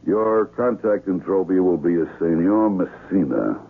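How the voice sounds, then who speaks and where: An actor playing a cool, businesslike handler of contract killers in a 1970s radio drama, formal and authoritative. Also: male voice, low-pitched, expressive, unhurried, vocal fry, husky, heavily acted. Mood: firm, instructing. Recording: muffled, phone-like vintage radio.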